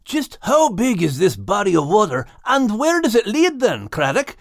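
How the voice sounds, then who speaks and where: An earnest, warm-hearted Scottish animal hero, played by a man reading an audiobook. The voice sits high and moves with lively swings. It has an adventurous storybook feel and a dry, close sound. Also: normal speed, dry recording, full character voice. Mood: curious, proud. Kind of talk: audiobook character acting.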